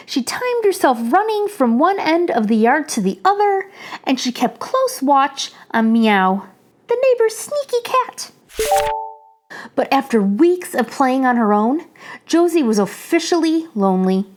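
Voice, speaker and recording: A woman reading a children's picture book aloud in an animated storytelling manner. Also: high voice. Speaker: children's story reader, channel host.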